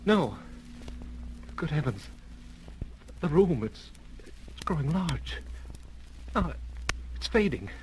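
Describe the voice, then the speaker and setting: An actor in a 1930s radio drama playing a dazed young hero, halting and agitated as he resists a spell. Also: a little high, sing-song. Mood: dazed, alarmed. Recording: noisy old 1930s radio recording.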